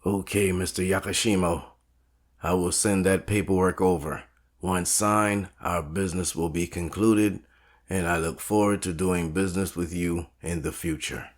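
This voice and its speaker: A man playing a calculating mob boss in an audio story, formal, measured and authoritative, with music behind him. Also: low voice, fairly even intonation. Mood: controlled authority. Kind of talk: dramatic audio story acting. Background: background music.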